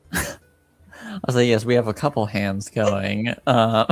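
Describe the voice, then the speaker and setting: A man casually refereeing a tabletop game as game master, pausing to deliberate. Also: lowish voice, gravelly, faint music behind.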